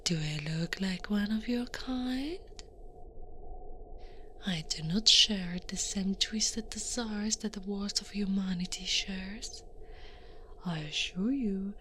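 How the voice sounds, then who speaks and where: An Italian woman acts out a towering female Krampus for an ASMR roleplay. She whispers breathily and very slowly, stern but soothing, coaxing with quiet menace. Faint ambient noise sits behind the voice. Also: mid-range voice. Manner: slow, deliberate, coaxing and menacing. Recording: noisy ambient background.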